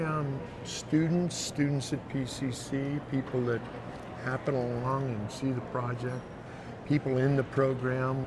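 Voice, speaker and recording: A man informally explaining a project, halting as he searches for words. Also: mid-range voice. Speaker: project creator explaining his photo project. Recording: clearly noisy background.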